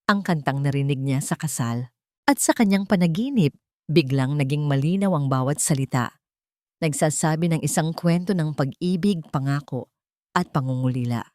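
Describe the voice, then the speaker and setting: A woman narrates a scripted romantic drama in a low register. Her delivery is measured and dramatic, like an audio storybook. The sound is dry, with little room around it. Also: a little mellow, dry recording.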